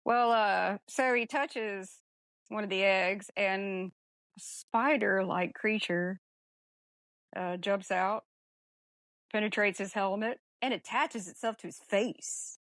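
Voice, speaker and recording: A woman's voice: medium pitch, steady tone, takes it slowly, fairly bright tone, no room sound. A woman co-hosting a podcast, talking casually about film in a blunt way with some mild swearing. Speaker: podcast co-host.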